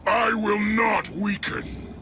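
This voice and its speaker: A man playing a rage-filled, bloodthirsty alien warlord in a video game, gravelly, grandiose and menacing. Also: high voice, steady tone, slow, shouting, noisy background. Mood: roaring menace. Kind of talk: video game fight banter.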